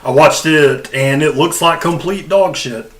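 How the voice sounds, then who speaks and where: A man's voice: a little high, measured pace, background noise. A man co-hosting a podcast, chatting casually about films in a blunt, informal way. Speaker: podcast co-host. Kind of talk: casual film chat.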